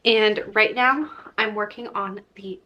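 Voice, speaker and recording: A woman's voice: medium pitch, unhurried, highs cut off. A young woman vlogger talking to her viewers in a chatty, informal way. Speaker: crochet vlogger and host. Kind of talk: casual chat to viewers.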